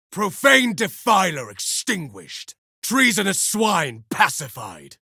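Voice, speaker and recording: A man's voice: fairly high pitch, sharp tone, dry recording, clean studio sound, full character voice. An actor playing a grim, pious armored male super-soldier, declaiming solemn insults over slain foes in a video game. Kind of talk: video game combat voice lines.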